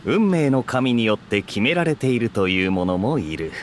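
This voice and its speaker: A man's voice: mid-range voice, sing-song, fast, slight background noise, natural voice. A man voicing a suave, teasing young cavalry captain in an anime game, with a nonchalant manner. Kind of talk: Japanese anime game voice acting. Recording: game music behind.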